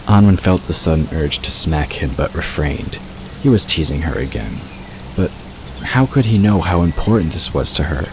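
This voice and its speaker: A man's voice: a bass voice, expressive, normal speed. A man reading scripted fiction as the narrator of an audio drama. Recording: clear ambient noise under voice.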